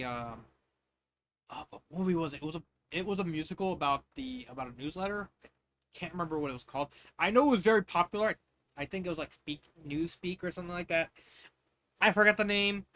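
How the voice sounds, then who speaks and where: A man in his thirties or forties from the United States reading fan fiction with casual, halting, rambling tangents where he repeats phrases. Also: a little high, bright, forceful tone, a little hiss or hum.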